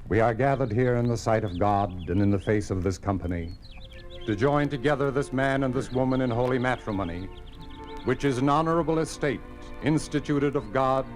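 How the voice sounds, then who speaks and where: An actor playing a calm, devout parson who speaks in a solemn, measured, ceremonial way in a 1950s radio drama. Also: male voice, medium pitch, lightly acted. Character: calm devout male parson marrying a couple in secret. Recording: old radio recording with music.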